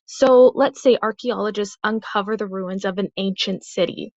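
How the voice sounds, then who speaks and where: A woman's voice: medium pitch, moderate pace, a little mellow, no room sound. Dull lo-fi voicemail from a woman. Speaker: viewer leaving a voicemail question. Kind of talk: casual spoken question. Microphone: phone voicemail.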